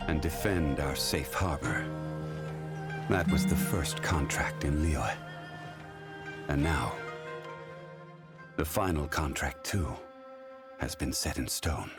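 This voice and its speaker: Brief: a solemn ancient god for a game trailer, extremely deep and unhurried, loud score underneath. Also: male voice. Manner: slow, grave and measured. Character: ancient dignified male god, calm and solemn. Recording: game clip with loud music.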